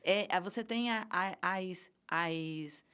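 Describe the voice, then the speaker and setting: A woman's voice: lowish voice, slow pace, bright, forceful tone, clean tone. A middle-aged Brazilian woman speaking Portuguese as an interview guest, passionate and emotional, stumbling over restarts and fillers. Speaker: guest, event producer. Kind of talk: casual conversational interview.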